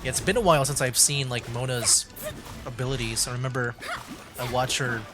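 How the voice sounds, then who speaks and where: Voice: medium pitch, fairly even intonation, fairly quick, over music. A streamer chatting casually while playing a video game, curious and upbeat. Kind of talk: casual gameplay commentary.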